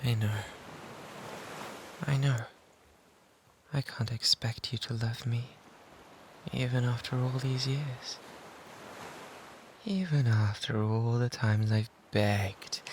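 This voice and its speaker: A man's voice: medium pitch, steady tone, slow, long pauses. Man acting a lovesick, pleading British pirate. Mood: remorseful. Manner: fervent, breathy pleading that often drops toward whispers. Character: lovesick obsessive male pirate, pleading and self-abasing. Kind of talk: romantic ASMR roleplay acting. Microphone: close binaural microphone. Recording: noticeable background noise and ambience.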